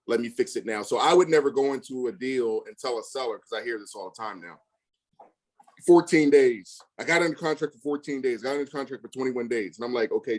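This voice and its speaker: Man, casual conversational storyteller, blunt, emphatic, slangy, quotes past exchanges, mid-pitched voice. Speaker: real estate investor.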